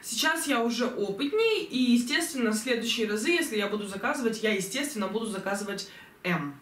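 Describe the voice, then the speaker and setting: A young woman reviewing clothes she ordered online, chatty and enthusiastic, slightly hoarse from a cold, filmed at home on a distant camera. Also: a little high, expressive, fast talker, slight background noise. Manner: chatty, enthusiastic, many fillers. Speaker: fashion vlogger. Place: bedroom at home, indoors. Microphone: camera mic from a distance. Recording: roomy echo, voice from far off.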